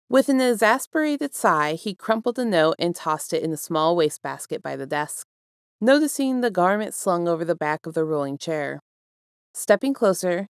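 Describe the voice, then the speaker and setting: A woman reading fiction aloud as an expressive, scripted narration with measured, deliberate phrasing. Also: on the low side, clear, resonant tone, no echo, clean studio sound. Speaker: fan fiction reader.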